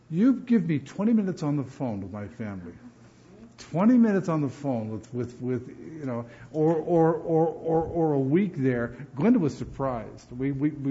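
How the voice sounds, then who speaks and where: Animated male preacher, lo-fi sound. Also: fairly high pitch. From New Jersey. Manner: animated, repeats words while building emphasis. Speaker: pastor. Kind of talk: sermon.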